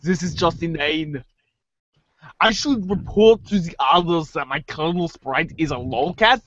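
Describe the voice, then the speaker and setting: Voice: mid-range voice, unhurried, no echo, close to an everyday voice. A performer playing an articulate, sardonic, exasperated girl in amateur readers' theater, wry, wordy and precise. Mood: dry exasperation. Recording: muffled lo-fi audio.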